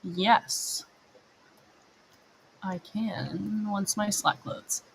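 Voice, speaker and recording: Female livestream co-host from a game team, conversational, average pitch. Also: drawn-out words, creaky, breathy edge, rough recording. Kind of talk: casual chat with colleagues.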